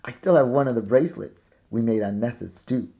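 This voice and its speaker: A man's voice: medium pitch, expressive, brisk, mellow, husky, dull audio. A man reading a storybook as a proud, eager little girl who earnestly and carefully plays museum guide. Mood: fond, explaining.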